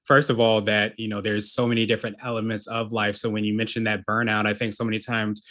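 A man hosting an interview, affirming his guest in a quick, filler-heavy way with many restarts. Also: fairly low pitch, little pitch movement, sharp tone. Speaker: interview host. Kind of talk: conversational interview.

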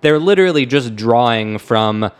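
A man's voice: medium pitch, expressive, normal speed, clear, resonant tone. A man hosting a podcast in casual music discussion, sometimes humming bits of melody to make his point. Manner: hums and scats melodies to illustrate points. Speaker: podcast host.

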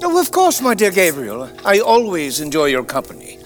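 An actor playing a proud, troubled Victorian doctor, genteel and theatrical with a halting delivery, in an audio drama. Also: male voice, sits high, huge pitch swings, soft background music. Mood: gracious.

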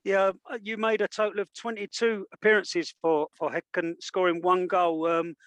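A man co-hosting a football podcast puts questions to his guest in a high voice. He speaks in a measured way, with some fillers and restarts. The sound is close and dry. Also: level tone, a bit bright, no echo. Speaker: podcast co-host. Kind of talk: interview questions.

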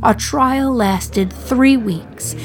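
A woman's voice: fairly high pitch, extremely slow, soft background music. An actress playing a condemned woman prisoner in her thirties, talking to the listener in a wry, sardonic, confiding way in a radio play monologue.

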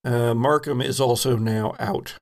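Creaky low-voiced man running a game. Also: steady tone, measured pace, a little mellow. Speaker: game master of a tabletop RPG podcast. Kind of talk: improvised game narration, conversational.

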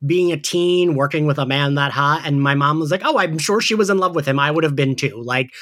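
A man's voice: on the high side, a little fast, a bit bright. A man hosting a podcast in a casual, gossipy, fannish chat style, often saying "like". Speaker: podcast host. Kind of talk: casual chat recapping an audio drama.

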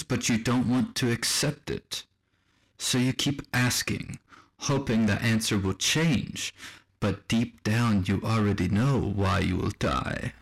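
An actor playing a coolly logical, condescending AI machine with murderous intent in a radio drama. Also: male voice, on the low side, poor audio. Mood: calm, philosophical. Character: calm, menacing AI music machine bent on killing. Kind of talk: scripted radio drama dialogue. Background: faint music.

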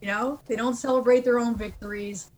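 A woman's voice: fairly high pitch, steady tone, brisk, darkish tone. A woman life coach answering interview questions in an earnest, encouraging way, laughing easily.

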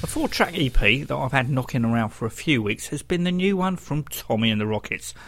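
A man's voice: medium pitch, expressive, fairly quick, vocal fry, a bit bright. A man presenting a rock music radio show, introducing records between tracks. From United Kingdom.

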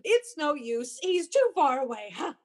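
A woman playing a lovesick, obsessive jilted young woman in a melodramatic radio play, halting and pleading. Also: high voice, sing-song. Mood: obsessive, fervent.

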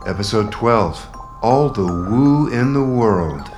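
Guy doing a slow radio play intro, music and chimes going behind him. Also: on the low side, animated. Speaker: announcer. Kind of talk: scripted programme introduction. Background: loud music and chimes.

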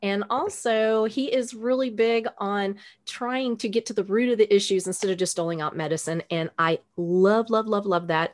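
A woman hosting a podcast interview, talking enthusiastically and repeating words. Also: lowish voice. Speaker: podcast host. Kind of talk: casual interview.

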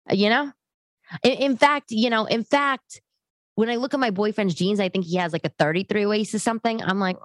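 A woman in her late thirties hosting a podcast, talking casually and bluntly to her listeners in an animated, conversational way. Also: mid-range voice, no room sound. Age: late 30s. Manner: animated, conversational, acts out others' remarks. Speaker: podcast host. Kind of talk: casual, chatty monologue to listeners.

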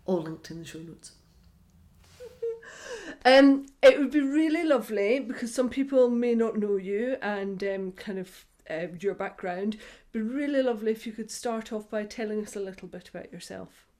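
A woman's voice: mid-range voice, moderate pace, darkish tone. A woman hosting a podcast, chatting enthusiastically and laughing easily as she interviews a guest. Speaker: podcast host. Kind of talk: casual podcast chat and interview.

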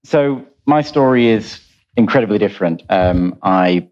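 Deep-voiced Yorkshireman, halting speech. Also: expressive, a little mellow, lo-fi sound. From Yorkshire, England. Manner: hesitant, with frequent fillers. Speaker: panelist. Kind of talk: panel discussion.

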